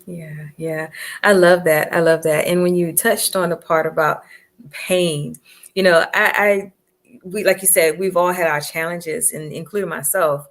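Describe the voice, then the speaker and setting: A woman podcast host warmly affirming and encouraging her guest in casual interview chat. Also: on the low side, fairly even intonation, unhurried.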